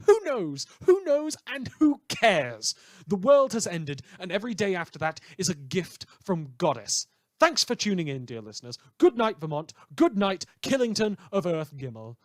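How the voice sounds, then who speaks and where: A man playing a brooding, dramatic host of a post-apocalyptic town radio show, delivering theatrical monologues with heavy pauses. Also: sits high, very animated, no room sound, clean studio sound, voice pushed up. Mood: ranting, scornful. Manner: theatrical, dramatic pauses, swinging from hushed to loud. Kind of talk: fictional community radio broadcast monologue.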